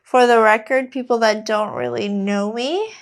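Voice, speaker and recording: A woman with a Quebec French accent, a fitness coach guiding a mobility workout in a relaxed, halting way with many fillers. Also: on the high side. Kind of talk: casual workout instruction.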